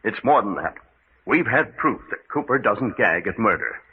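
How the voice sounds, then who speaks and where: A man acting a steady, reasoning adventurer in a radio mystery, reassuring and commanding in an emphatic way. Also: lowish voice, moderate pace, breathy edge. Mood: urgent, insistent. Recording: dull, noisy old-style radio recording.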